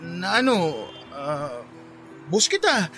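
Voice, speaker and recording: A man playing a kind, persistent, bashfully smitten young office junior in a Tagalog radio drama, speaking gently and coaxingly. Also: fairly high pitch, very animated, takes it slowly, soft background music, heavily acted.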